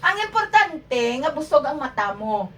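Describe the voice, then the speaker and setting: High, animated Ilonggo woman bantering. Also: moderate pace, a crowd in the background. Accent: Ilonggo Filipino. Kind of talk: comic banter. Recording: distant mic with ambient noise.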